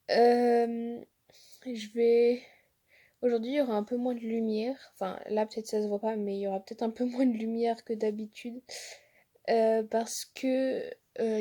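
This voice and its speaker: A young woman, a student, vlogging from her bedroom on her phone, slightly hoarse from a sore throat, rambling with many fillers and restarts. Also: fairly high pitch, warm tone. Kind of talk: casual vlog chat. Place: bedroom at home. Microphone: phone held at arm's length.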